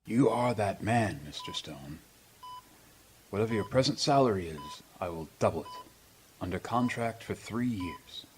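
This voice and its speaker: A man playing an ailing, proud old politician in a radio drama, speaking in formal, pompous, measured phrases. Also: lowish voice, animated, a little mellow, slight background noise. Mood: scheming, determined.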